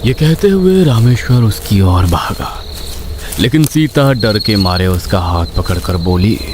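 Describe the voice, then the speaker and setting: Slow, suspenseful male horror narrator. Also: on the low side, lively intonation, noisy background. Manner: suspenseful, dramatic pauses. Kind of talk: scripted horror story narration. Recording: constant night cricket and insect sounds behind.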